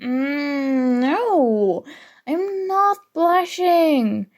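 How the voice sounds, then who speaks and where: A woman playing a flustered, teasing young student rival in an intimate ASMR roleplay, soft-spoken, mock-annoyed and hesitant. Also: high-pitched, expressive, very slow, full character voice. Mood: flustered, defensive.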